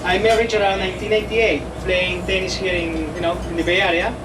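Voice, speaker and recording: A man's voice: sits high, little pitch movement, quick pace. A man with an Argentine accent giving a toast at a wedding, friendly and a little hesitant. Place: wedding reception, indoors. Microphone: camcorder from across the room. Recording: noisy home camcorder audio from far off.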